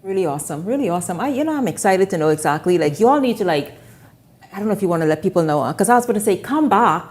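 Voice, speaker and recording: A woman's voice: mid-range voice, animated, rapid-fire, darkish tone. A woman hosting a live TV talk show, chatty and enthusiastic, often repeating her words. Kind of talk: live TV interview chat.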